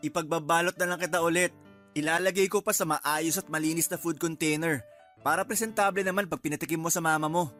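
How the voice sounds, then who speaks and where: A man's voice: fairly high pitch, steady tone, fast talker, sharp tone. A cocky young Filipino rival in a radio drama. He needles in a mocking, bossy way with a Filipino accent, over a music bed. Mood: teasing, mocking. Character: teasing, nosy, cocky young man, playboy rival.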